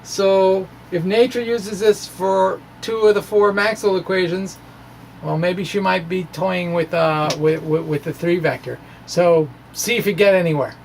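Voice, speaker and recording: A middle-aged American man giving an informal, halting explanation to camera, with animated, self-deprecating asides. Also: high-pitched, vocal fry, slight background noise. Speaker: physics video blogger. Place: small indoor room with bookshelves. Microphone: microphone on stand in front of chest.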